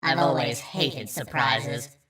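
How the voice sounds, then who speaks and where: A man's voice: medium pitch, slow, pushed and bright, distant mic. A man voicing a bored, drawling undead queen. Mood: cold. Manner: drawling, dismissive and irritable.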